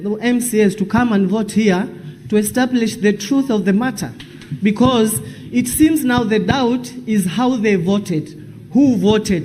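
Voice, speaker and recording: High-pitched Kenyan man in a reverberant chamber. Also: a little mellow. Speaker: senator making a statement. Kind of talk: formal parliamentary speech. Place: parliamentary chamber. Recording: long chamber reverb, faint noise.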